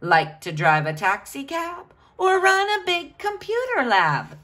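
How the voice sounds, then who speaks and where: A woman's voice: extremely high pitch, wildly swooping pitch, a little slow, pushed and bright. A woman reading a picture book aloud to children, with a sing-song, questioning delivery.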